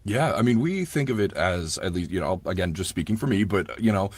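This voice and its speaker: A man's voice: sits low, lively intonation, a little fast, husky, no echo. A man speaking casually and earnestly as an audiobook performer in an interview, with plenty of "like" and "you know".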